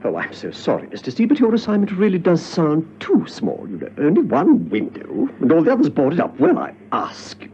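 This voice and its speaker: An actor playing a fussy, condescending British gentleman instructor in a radio drama, prissy and drawn-out in his delivery. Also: on the high side, wildly swooping pitch. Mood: fussy disdain. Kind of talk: 1960s-style British radio drama acting. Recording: dull lo-fi radio recording, faint noise.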